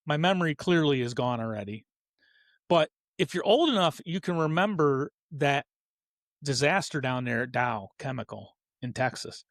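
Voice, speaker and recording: A man's voice: mid-range voice, expressive, moderate pace, no room sound. A man in his fifties hosting a casual, opinionated hobby show, talking earnestly in a rambling way and correcting himself as he goes. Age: 50s. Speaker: host of a hobby racing show. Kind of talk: casual opinionated talk about hobby racing.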